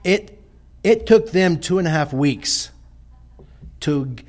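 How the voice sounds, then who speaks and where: Middle-aged Texan man, unhurried missionary report to a congregation, plain homespun delivery. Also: fairly high pitch, lively intonation, a little mellow. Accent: Texas. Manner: slow, folksy, halting with many restarts.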